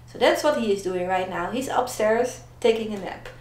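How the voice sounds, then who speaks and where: A woman's voice: medium pitch, normal speed, darkish tone. A young Dutch woman with a Dutch accent, chatting playfully and self-mockingly in a casual home vlog. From Netherlands. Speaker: vlogger. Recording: echoey room, faint background noise.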